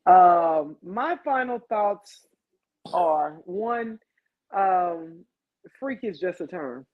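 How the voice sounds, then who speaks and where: A woman's voice: on the low side, drawn-out words, phone-quality sound. A woman hosting a casual podcast, talking frankly and animatedly about relationships. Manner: animated, frank, reads listener comments. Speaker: podcast host.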